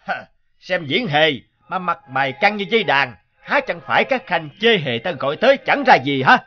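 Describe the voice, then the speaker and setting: A man's voice: a little high, big pitch swings, normal speed, highs cut off. A man doing a haughty, menacing cartoon king. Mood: displeased. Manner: imperious, scolding. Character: tyrannical middle-aged king, haughty and menacing. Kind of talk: animated fairy-tale dialogue.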